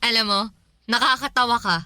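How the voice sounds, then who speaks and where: A woman's voice: a little high, bright, forceful tone, no echo, clean studio sound, full character voice. An actress playing a young, breezy, generous girlfriend in a Filipino radio drama, with a playful, coaxing, casual way of talking.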